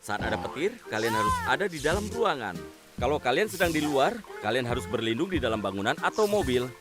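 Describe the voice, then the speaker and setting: A man's voice: mid-range voice, brisk, over music, lightly acted. A man voicing Roy, the rescue robot truck. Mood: instructive. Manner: calm, instructive, protective. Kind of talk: dubbed cartoon voice acting.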